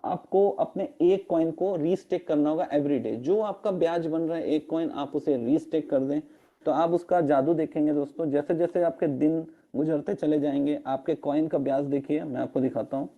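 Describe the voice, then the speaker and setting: A man with a hoarse throat walking his viewers through interest figures on a spreadsheet, often calling them friends. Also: fairly high pitch, steady tone, moderate pace, muffled recording. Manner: repeated "friends" address, mixes English terms into Hindi. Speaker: crypto earnings explainer. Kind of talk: screen-share walkthrough of a spreadsheet. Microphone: online meeting audio.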